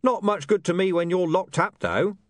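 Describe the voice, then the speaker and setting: Picture a cocky, sly street dog who can talk, yapping in a high, brash voice as a man puts him on for an audiobook. The pitch sits far above a normal man's, and every line is pushed out loud and sharp. The reading is dry and close. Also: normal speed, no room sound, close to an everyday voice. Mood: grudging.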